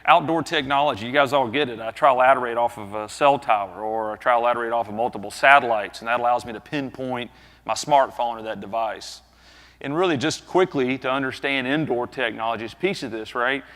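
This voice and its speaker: A man's voice: medium pitch, a little fast. A man in his thirties with a Southern drawl delivers a business presentation from a conference stage. He speaks into a headset mic in a casual, chatty way, checking in with frequent tag questions. His voice rings out with the long reverb of a large hall. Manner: conversational, frequent tag questions, animated gestures while speaking.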